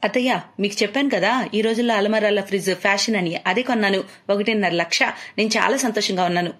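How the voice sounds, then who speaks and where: A status-hungry young wife from a moral story, acted out by a woman narrator. She is insistent and complaining, with a medium feminine pitch and a discontented edge to her delivery. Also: fast, a bit bright, natural voice. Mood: proudly excited.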